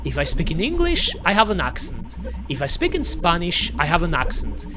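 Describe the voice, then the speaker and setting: Lively Belgian-accented game streamer. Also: mid-range voice, normal speed, noisy background. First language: French. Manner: chatty, talks to chat, lots of "you know" and "pretty much". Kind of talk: casual gameplay commentary.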